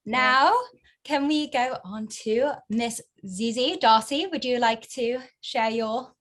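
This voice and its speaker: Female moderator, slightly high voice, formal and measured while reading introductions. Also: fairly bright tone.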